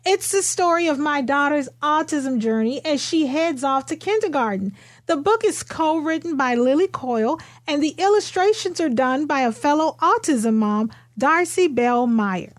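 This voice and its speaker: A woman with a notably high voice speaks as a children's author. Her delivery is expressive and emphatic, made for storybook listeners. The sound is dry, with very little room around it. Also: moderate pace, no echo. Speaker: author reading own children's book. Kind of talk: expressive storybook read-aloud.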